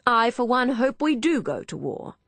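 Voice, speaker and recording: A woman's voice: fairly high pitch, sing-song, moderate pace, fairly bright tone, dry recording, voice pushed up, heavily acted. A woman voicing a hearty young army major who speaks in bluff, emphatic declarations.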